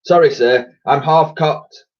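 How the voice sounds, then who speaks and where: Male reader as a nervous old private; timid, halting, apologetic. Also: a little high, highs cut off, voice pushed up.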